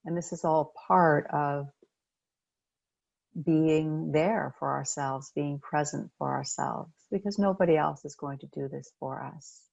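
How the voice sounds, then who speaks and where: A woman leading a guided meditation as a coach, speaking gently and unhurriedly with pauses between phrases. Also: sits low, creaky, no room sound, dull audio.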